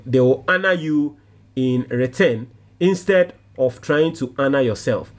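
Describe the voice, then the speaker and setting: Ghanaian male commentator, fairly high voice, animated delivery, reads and reacts to comments. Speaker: commentator reading social media comments. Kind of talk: casual commentary mixing English and Twi.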